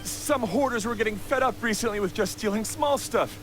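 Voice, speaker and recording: Guy doing a shifty whiny crook, really high pitched, stammers, game audio w noise. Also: a little fast, natural voice. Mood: nervous. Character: whiny, cowardly male bandit henchman. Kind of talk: video game voice acting. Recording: game capture with clear background noise.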